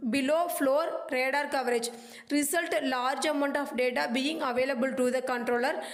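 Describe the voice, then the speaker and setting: Female lecturer, high pitch, clipped and halting read of study notes, roomy echo. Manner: halting, clipped reading with dropped words. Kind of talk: scripted educational narration.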